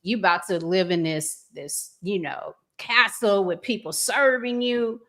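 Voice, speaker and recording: A woman hosting a casual relationship podcast, reflective and pausing to find her words. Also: medium pitch, bright, forceful tone. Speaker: podcast host.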